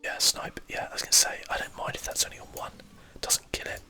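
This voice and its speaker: A man with a southern English accent whispers casual, chatty ASMR game commentary in a breathy, unvoiced voice, musing aloud as he plays. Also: quick pace, bright, forceful tone. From southern England, UK. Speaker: host of an ASMR gaming channel.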